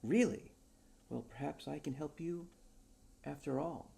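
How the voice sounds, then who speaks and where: A man's voice: fairly high pitch, unhurried, vocal fry, dark tone, pitched above the performer's own voice. A man voicing an eager, whimsical wordsmith in a storybook tale, talkative and theatrical like a salesman. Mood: sly, interested. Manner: theatrical, earnest salesman patter.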